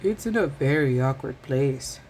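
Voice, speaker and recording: A grumpy little hedgehog from a bedtime tale, acted out by a woman reader. He mutters and grumbles gruffly, with varied intonation. Slight background noise is present. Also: rumbling low, lively intonation, unhurried, a little mellow.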